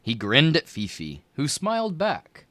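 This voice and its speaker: Male read-aloud narrator, expressive storybook delivery, bright voice, slow pace. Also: on the high side, no echo. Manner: lively, expressive reading with small voice shifts for characters. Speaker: children's book reader.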